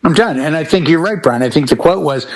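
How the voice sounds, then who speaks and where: A man's voice: fairly high pitch, animated, quick pace. A middle-aged man chatting casually and emphatically about music, telling stories and giving firm opinions as a panelist. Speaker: talk show panelist.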